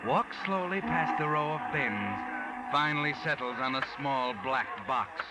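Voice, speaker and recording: An actor playing a sly, knowing narrator of a 1940s radio mystery, speaking deliberately and insinuatingly. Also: male voice, mid-range voice, very noisy, lightly acted. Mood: ominous, insinuating. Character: sly, knowing omniscient male narrator taunting the protagonist. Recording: distant old radio broadcast with loud music.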